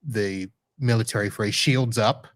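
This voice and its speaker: A man hosting a podcast, chatting casually and teasing his guest in a relaxed way. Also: on the low side, unhurried. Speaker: podcast host. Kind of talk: casual chat.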